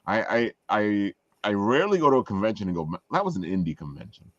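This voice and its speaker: A man's voice: fairly low pitch, very animated, fast, no room sound, dull audio. A man hosting a casual group chat in a jokey manner, repeating words for emphasis. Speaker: show host.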